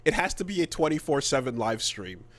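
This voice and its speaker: Halting man in casual podcast chat. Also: mid-range voice, big pitch swings, fairly bright tone. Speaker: podcast co-host. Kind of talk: casual podcast chat about video games.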